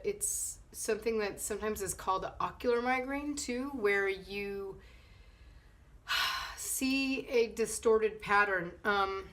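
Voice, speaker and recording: A woman's voice: mid-range voice, fairly bright tone. Woman with a stuffy, nasal cold voice. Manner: hesitant, many fillers and restarts. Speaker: vlogger. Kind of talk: casual talk to camera. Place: sunny living room indoors.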